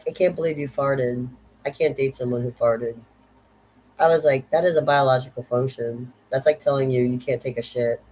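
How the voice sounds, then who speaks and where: A woman's voice: low-pitched, darkish tone, poor audio. Woman, 50s, vlog rant, blunt, profane, sardonic, repeats herself. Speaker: vlogger. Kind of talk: unscripted rant monologue.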